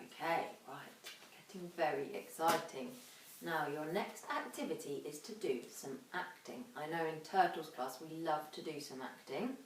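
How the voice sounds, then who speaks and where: A woman's voice: low voice, a little hiss or hum. Female infant teacher, dramatic children's reading style, recorded away from the mic in a roomy space. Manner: dramatic, emphatic, drawn-out sound words. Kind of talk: expressive children's storybook reading and instruction. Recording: echoey room, distant microphone.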